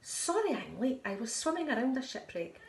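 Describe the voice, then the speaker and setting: A woman reads out a little fish boy full of tall tales, rattling off eager excuses in a storybook voice. The voice sits a touch high and bounces around an echoey room. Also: very animated, brisk, creaky, distant mic, full character voice. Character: small boastful young boy fish who tells tall tales.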